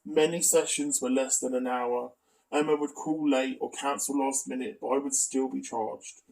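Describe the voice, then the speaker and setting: A man delivers an exposé-style commentary in a middling, creaky voice. He sounds critical yet matter-of-fact as he lays out and reads through evidence. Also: mid-range voice, fairly even intonation, normal speed, darkish tone, poor audio. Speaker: video commentator presenting an exposé. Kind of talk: spoken commentary reading out evidence.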